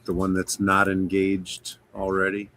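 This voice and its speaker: A man's voice: low voice, monotone, unhurried, sharp tone. A man chatting casually as a player in a tabletop game over an online call.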